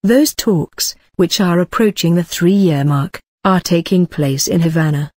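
A voice reading a news article aloud in an even, uniform way. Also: medium pitch, unhurried, no echo, studio quality. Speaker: news reader.

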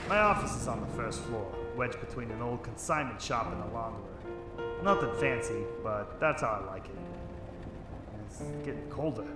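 A man's voice: mid-range voice, expressive, normal speed, roomy sound, loud music behind the voice, close to an everyday voice. A man playing a stubborn, wry 1940s private detective in a noir radio drama, speaking in terse, slangy tough talk on a close mic. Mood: brooding narration. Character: hard-boiled, stubborn 1940s private detective, gruff uncle. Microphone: close studio microphone, headset.

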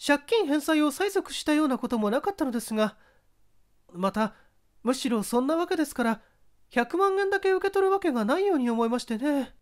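Man voicing a genteel high-pitched wife. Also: animated, a little fast. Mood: puzzled. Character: polite, reserved married woman, a victim's wife.

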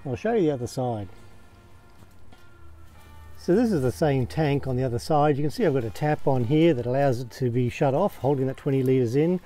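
A man's voice: mid-range voice, mellow. An Australian man talking casually outdoors at home as he walks a camera around his camping gear, with plenty of ums and uhs. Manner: plain, unhurried, many ums and uhs. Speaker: camping vlogger. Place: outdoors, backyard by a caravan.

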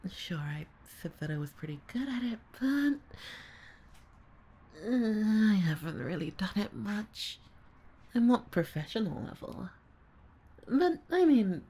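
Woman acting as young babysitter, breathy husky voice, unhurried, hesitant, awkward, lazy, ASMR roleplay. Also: mid-range voice, dark tone, heavily acted. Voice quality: husky, breathy, often dropping to a near-whisper. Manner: hesitant, muttering, sighing, trailing off. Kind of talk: ASMR audio roleplay, solo character acting.

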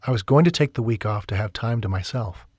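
A man's voice: on the low side, a little fast, darkish tone, slightly rough, dry recording. A man putting on a stressed, stubborn young woman. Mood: upset.

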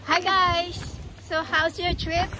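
A woman's voice: very high voice, level tone, takes it slowly, background noise. A woman in her thirties vlogging on a hike, chatty and giggly, mixing English with Filipino. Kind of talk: casual vlog narration. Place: outdoors on a rocky lakeshore and forest trail. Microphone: handheld phone.

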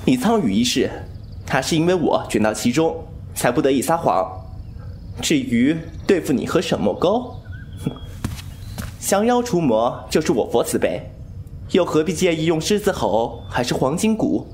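Man acting wry young martial-arts wanderer, lively swings. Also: on the high side, moderate pace, a little hiss or hum. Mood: musing, sardonic. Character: young male wuxia wanderer, wry and teasing. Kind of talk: Mandarin audio drama acting. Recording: background music under voice.

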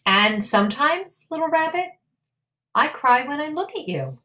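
Woman as a patient mother rabbit, slow-paced. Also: sits high, big pitch swings, bright, forceful tone, voice pushed up, exaggerated voice. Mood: tender, reassuring. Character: gentle, reassuring mother rabbit.

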